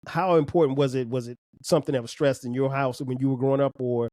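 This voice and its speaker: A man hosts a podcast interview and asks long, loose questions in a friendly back-and-forth. His pitch sits in an ordinary middle range. The sound is dry and close, with no room echo. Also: fairly even intonation, fast talker, dark tone. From Florence, South Carolina. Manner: easygoing, laughs often, rambling questions. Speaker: podcast host. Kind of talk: casual interview conversation.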